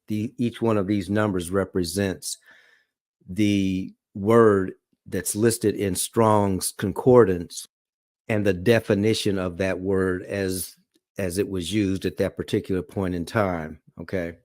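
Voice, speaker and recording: A man's voice: low-pitched, a little mellow, no echo, clean studio sound. A man teaching a Bible study lesson, reading scripture aloud and checking in often with "okay?" and "all right?". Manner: reads scripture aloud slowly.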